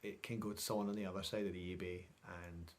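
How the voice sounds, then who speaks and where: A middle-aged man with a fairly low voice recaps things for his vlog. He talks in a chatty, informal way, wandering from one thought to the next as if speaking to friends. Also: level tone, fast. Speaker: vlogger. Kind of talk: casual vlog recap to camera.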